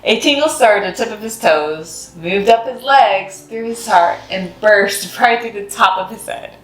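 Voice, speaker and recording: A woman narrating a storybook for children in an expressive, dramatic way. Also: mid-range voice, a little slow. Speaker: host of a children's read-aloud series. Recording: roomy echo with music behind. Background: music.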